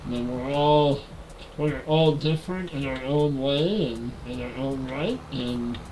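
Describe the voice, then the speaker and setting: A man's voice: medium pitch, takes it slowly, background noise. A man in his forties with a nasal voice, chatting casually with viewers on a live stream while playing a game on a headset mic. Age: 40s. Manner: relaxed, talks to chat while playing.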